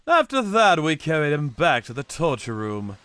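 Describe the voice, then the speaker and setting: A man's voice: on the high side, huge pitch swings, moderate pace, bright, forceful tone, slight background noise. A man narrating a manga story in the first person with a theatrical, cocky delivery.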